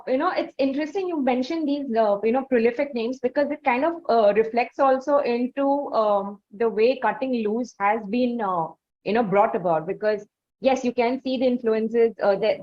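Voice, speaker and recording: A woman's voice: a little high, moderate pace. A woman hosting an interview, asking questions in a hesitant, roundabout conversational way. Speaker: interviewer, host. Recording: slightly dull, lo-fi audio.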